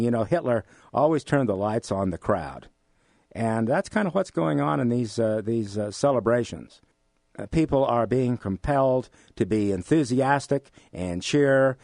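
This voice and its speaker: An American man of mature years speaking as an economics professor and interview guest, explaining things in a deliberate, emphatic way. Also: mid-range voice, darkish tone, no room sound, studio quality. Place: radio studio.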